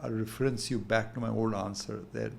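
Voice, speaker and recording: A man in his sixties with an Indian English accent, talking thoughtfully as a guest in an unhurried interview. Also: fairly low pitch, fairly even intonation, vocal fry, a little mellow, a little hiss or hum. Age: 60s. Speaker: guest investor. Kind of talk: candid interview talk.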